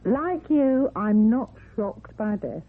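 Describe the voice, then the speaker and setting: A woman's voice: on the high side, slow, slightly rough, full character voice. An actress playing a fussing, talkative, matter-of-fact landlady in a radio drama. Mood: chatty. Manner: talkative, animated. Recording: tinny narrow-band old radio recording.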